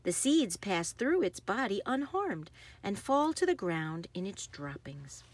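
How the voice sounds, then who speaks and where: Animated, slow-paced woman reading a picture book. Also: medium pitch. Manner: animated, emphasizing action words. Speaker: read-aloud host. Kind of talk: expressive read-aloud of a children's picture book.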